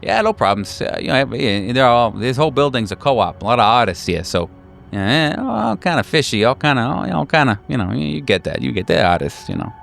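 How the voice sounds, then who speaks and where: A man's voice: medium pitch, big pitch swings, fairly quick, creaky, fairly bright tone, soft background music. A man voicing a jovial, eager-to-please police detective who rambles, repeats himself and chuckles, in a tabletop roleplaying game. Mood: evasive, rambling.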